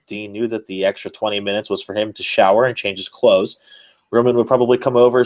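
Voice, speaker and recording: A man's voice: on the low side, darkish tone. Man giving a mock-serious dramatic reading. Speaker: podcast host. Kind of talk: dramatic reading of fan fiction.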